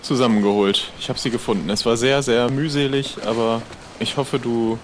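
A man's voice: medium pitch, steady tone, normal speed, over a noisy crowd, close to an everyday voice. A man playing a put-upon, self-pitying pirate deck scrubber in an improvised audio play. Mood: needy. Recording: music behind the voice.

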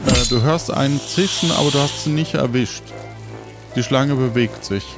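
A man with an average-pitched voice runs an improvised role-playing adventure. He narrates the scene and gives rulings as game master. Light music plays quietly behind him. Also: normal speed.

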